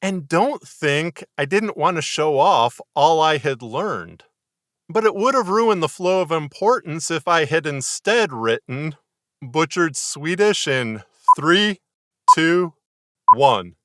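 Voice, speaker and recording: A man delivering scripted writing advice as a writer and podcaster, in a measured, articulate and emphatic way. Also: on the high side, expressive, fairly bright tone, no echo, studio quality. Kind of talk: scripted instructional narration to camera.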